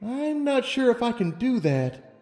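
A man's voice: very high-pitched, sing-song, a little slow, a little mellow, voice pushed up, heavily acted. A man voicing an anxious, defiant young female unicorn pony in a storybook reading, questioning and agitated. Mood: afraid, troubled. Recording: long reverb, music underneath.

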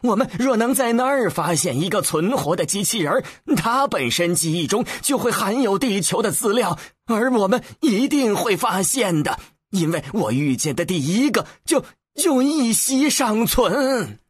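A man's voice: lowish voice, very animated, moderate pace, pauses often, a bit bright, husky, dry recording, clean studio sound, voice pushed down, full character voice. A man playing an impatient, sardonic space explorer in an audiobook, speaking in a skeptical, commanding way. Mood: growling, determined. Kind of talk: acted audiobook dialogue.

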